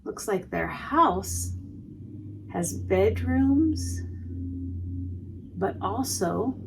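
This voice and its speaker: A woman reading a children's storybook aloud, pausing to explain things simply and expressively to young listeners. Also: medium pitch, expressive, gravelly, background noise. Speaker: preschool education manager reading a storybook.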